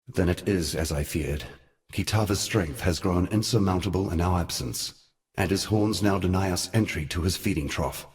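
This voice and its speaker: A man voicing an ancient, weary god in a fantasy game, speaking in a grave, deliberate and eloquent manner. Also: low voice, close to an everyday voice. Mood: grave, resolute. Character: ancient weary god, solemn, regretful, cunning male ally. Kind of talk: theatrical fantasy game dialogue.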